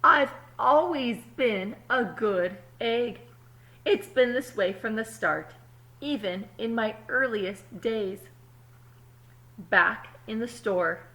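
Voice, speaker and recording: A woman voicing an earnest, dutiful little egg in a children's storybook, telling its own tale with drawn-out emphasis. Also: a little high, sharp tone, full character voice. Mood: eager. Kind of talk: first-person children's storybook narration.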